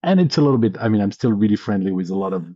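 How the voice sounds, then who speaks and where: Low-voiced man in his thirties, dull audio. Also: expressive, racing, dark tone, no room sound. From France (Paris). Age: 30s. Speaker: podcast guest, venture capitalist. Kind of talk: casual conversational storytelling.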